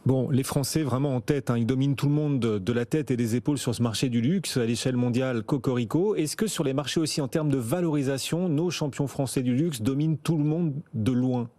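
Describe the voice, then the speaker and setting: Fast-talking French TV anchor. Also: male voice, medium pitch. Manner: lively, quick quips. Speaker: news anchor. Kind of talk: studio business news discussion. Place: TV studio.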